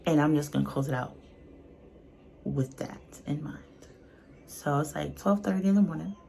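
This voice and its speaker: A woman's voice: low voice, moderate pace, creaky, warm tone. A woman giving casual, unscripted reaction commentary in a blunt, colloquial, earnest way. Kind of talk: casual, unscripted opinion talk.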